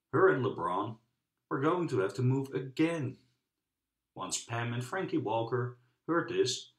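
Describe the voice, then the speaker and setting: A man reads a children's storybook aloud in a lively, swooping delivery. He phrases it in measured pieces with dramatic pauses. The room adds a noticeable echo. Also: medium pitch. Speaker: storybook reader. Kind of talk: children's book read-aloud narration. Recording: roomy, echoing sound.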